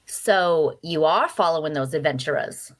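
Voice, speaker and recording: A woman's voice: low voice, normal speed. A woman playing a chatty, nosy and cheerful traveler in a fantasy audio drama, with a teasing, folksy manner. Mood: curious, friendly. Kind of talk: dark fantasy audio drama table read.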